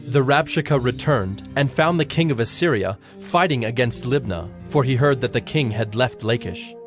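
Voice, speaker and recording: Male scripture narrator, mid-pitched. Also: lively intonation, normal speed, music in the background.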